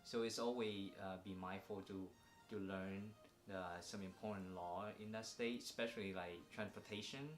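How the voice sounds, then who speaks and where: Vietnamese-accented man, halting advice. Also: deep voice, steady tone, reverberant, faint music behind. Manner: halting, many fillers and restarts. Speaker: vlog co-host. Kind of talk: casual advice vlog.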